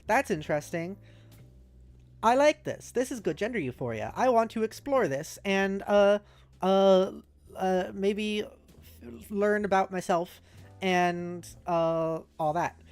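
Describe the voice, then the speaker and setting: A woman streamer chatting casually with viewers, giggly and halting as she thinks aloud and reads out messages. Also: mid-range voice, darkish tone. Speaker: streamer, host. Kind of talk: casual livestream chat, reading out viewer messages. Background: music.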